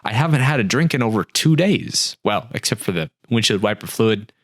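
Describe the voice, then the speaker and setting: A man's voice: fairly low pitch, animated, a little fast, dry recording, studio quality. A man voicing a dazed man stranded in a desert, who asks questions in a bewildered, pleading way, in a storybook reading.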